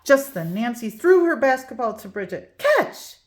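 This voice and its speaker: A woman reading a children's picture book aloud, expressive and storytelling. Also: on the high side, moderate pace. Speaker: read-aloud host.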